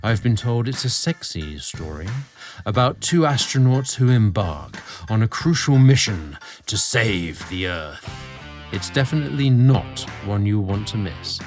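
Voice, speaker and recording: A man's voice: on the low side, expressive, measured pace, faint music behind. A man narrating a scripted romantic story as host, with a theatrical, sensual delivery.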